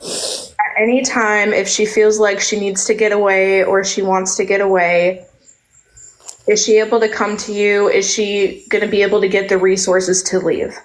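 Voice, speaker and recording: A woman's voice: mid-range voice, level tone, normal speed, low-quality recording. Polite woman asking a question. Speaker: live stream participant. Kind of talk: casual live stream talk.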